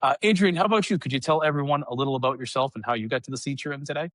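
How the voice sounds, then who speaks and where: A man's voice: mid-range voice, racing, fairly bright tone, no echo. A man hosting an interview podcast, upbeat and chatty, praising his guests. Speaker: podcast host.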